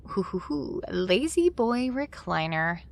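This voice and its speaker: A woman's voice: medium pitch, sing-song, slow pace, vocal fry, noisy background, exaggerated voice. A woman playing a grateful, awestruck young newcomer to a futuristic city in an audio drama. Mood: delighted.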